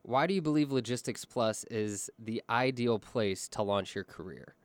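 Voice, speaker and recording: A man interviewing employees, asking smooth prepared questions. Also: mid-range voice, animated, normal speed, no room sound.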